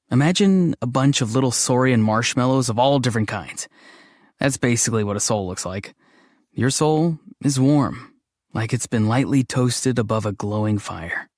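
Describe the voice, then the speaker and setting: An actor voicing a gentle, odd, introverted young man in a video game, speaking in an earnest, hesitant, matter-of-fact way. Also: mid-range voice, lively intonation, normal speed, no room sound, clean studio sound, lightly acted. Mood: calm, thoughtful. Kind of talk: scripted game character lines.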